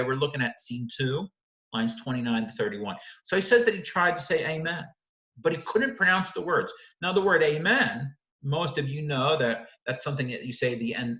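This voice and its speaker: A man's voice: mid-range voice, bright, forceful tone, reverberant, low-quality recording. A man teaching literature, walking through the text of a play and explaining it line by line, often correcting himself as he goes.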